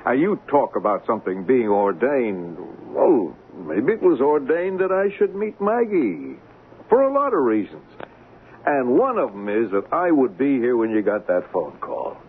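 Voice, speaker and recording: Animated swindler, tinny old radio. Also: male voice, mid-range voice, unhurried. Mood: hesitant, coaxing. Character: smooth-talking, resourceful male swindler, falsely diffident. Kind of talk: 1970s radio drama acting. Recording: tinny band-limited old radio broadcast, noisy.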